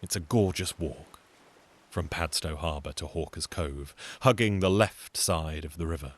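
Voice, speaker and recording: A man's voice: rumbling low, normal speed. A man telling a comic folk tale in a theatrical, conversational way, with wry, sardonic asides. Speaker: storyteller, solo show performer.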